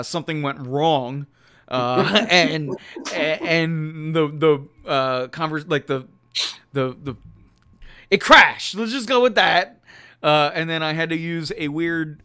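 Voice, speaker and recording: A man chats casually from a quiet room at home, talking into a condenser mic. His voice is bright, crisp and a little higher than most, and he rambles with a self-deprecating edge, laughing often. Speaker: host of a live stream show. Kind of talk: casual live stream chat. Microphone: USB condenser mic.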